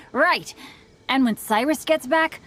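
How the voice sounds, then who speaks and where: Game role: earnest, heartfelt young woman, slightly high voice, faint music behind. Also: a bit bright. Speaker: game character. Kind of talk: video game voice acting.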